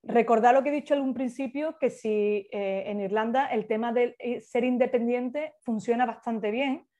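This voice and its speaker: Brief: woman facilitator, fairly high voice, informal explaining with lots of fillers, dull online-call sound. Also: dark tone. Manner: explanatory, many hesitations and restarts. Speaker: workshop facilitator. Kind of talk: informal workshop talk.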